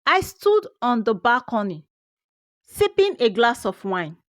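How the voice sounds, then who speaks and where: A Nigerian woman narrates a first-person folktale with theatrical expression. Her delivery is unhurried and very lively in pitch, in a dry, close recording. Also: dry recording. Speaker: storyteller.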